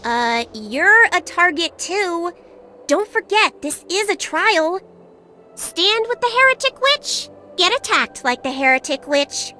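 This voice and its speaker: A very high, bright little fairy, cheeky and bossy. She swoops through her lines in a sassy sing-song, as a teasing guide in a video game. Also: very animated, takes it slowly, faint music behind, exaggerated voice. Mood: urgent, bossy. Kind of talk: video game cutscene acting.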